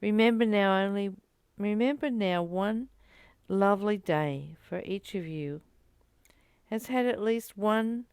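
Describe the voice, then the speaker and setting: Woman doing a reflective spiritual reading, gentle n earnest, really slow, gravelly fry in the voice. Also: medium pitch, dry recording. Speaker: spiritual study teacher. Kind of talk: reflective spiritual teaching, reading passages and commenting.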